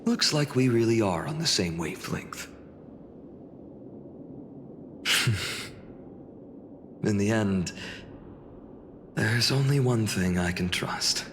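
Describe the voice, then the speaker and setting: An actor playing an earnest young video game hero, measured and reflective in delivery. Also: male voice, on the low side, animated, slight background noise. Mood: pensive. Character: earnest young hero haunted by his lost village. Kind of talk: English video game voice acting. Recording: long reverb, music behind.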